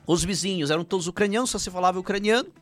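A man's voice: high-pitched, racing, soft background music. Chatty man with Ukrainian roots. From Paraná, Brazil. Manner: rambling, frequent restarts and "né?" tags. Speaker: filmmaker guest. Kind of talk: casual interview chat.

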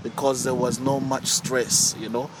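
A young man from Zimbabwe, a podcast guest answering calmly in short, hesitant bits. His voice sits at a middle pitch. Clear street and traffic noise fills the outdoor recording. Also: a little slow. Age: young adult. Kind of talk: casual interview answers. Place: outdoors, sitting on grass.

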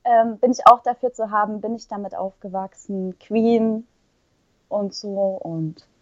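A young woman from Saxony, talking with only a trace of her Saxon accent left. She chats casually and hesitantly, filling pauses with "ähm". The recording is dry and a little dull. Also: medium pitch, steady tone, a little mellow, no echo. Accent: faint Saxon. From Erzgebirge, Saxony. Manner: chatty, hesitant with fillers. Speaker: interview guest. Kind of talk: casual podcast chat.

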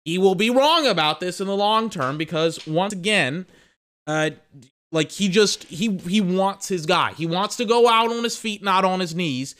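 A young man with a smooth, resonant voice runs a sports podcast in loose, ranting commentary. He talks emphatically, circles back over his phrases and swears freely. He sounds a little tired. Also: high-pitched, lively intonation, normal speed, fairly bright tone.

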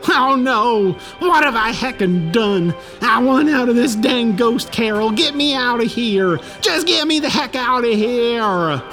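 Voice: mid-range voice, lively intonation, a little slow, few pauses. An actor playing a panicky, goofy, apologetic writer in a comedic audio drama, exclaiming melodramatically with folksy mild oaths. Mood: distressed, uneasy. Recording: loud music under the voice.

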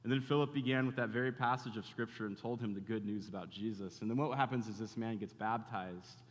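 A man's voice: on the low side, fairly quick. A man preaching in a casual, conversational way, full of fillers and restarts. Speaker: pastor. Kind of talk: sermon with casual interview. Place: church in Phoenix, Arizona. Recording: long reverb of a church hall.

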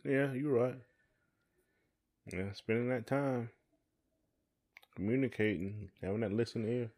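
A man's voice: medium pitch, a little slow, darkish tone. A middle-aged man chatting casually and colloquially as a podcast co-host. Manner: colloquial, conversational. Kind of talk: casual chat.

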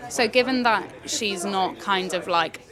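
A woman's voice: medium pitch, measured pace. A woman co-hosting a podcast, chatting casually about films with many restarts. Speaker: podcast co-host. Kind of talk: casual film review chat. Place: pub. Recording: noisy pub with crowd. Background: pub crowd chatter.

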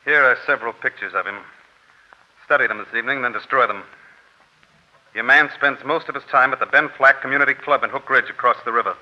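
An actor playing a cold, careful crime boss who speaks in a measured, formal, menacing way, in 1940s radio style. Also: male voice, mid-range voice, lightly acted. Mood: smooth, businesslike menace. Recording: muffled old radio recording.

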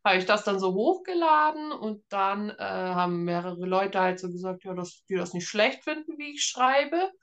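A woman's voice: mid-range voice, expressive, normal speed, hardly any pauses, a bit bright. A German woman in her thirties chatting casually and informally as an author being interviewed, with a self-deprecating touch. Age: 30s.

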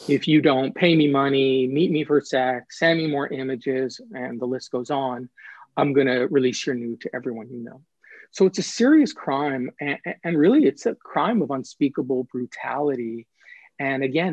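A man's voice: mid-range voice, no echo, muffled recording. A man speaking as an author being interviewed, explaining things in an earnest, emphatic way and repeating phrases for stress.

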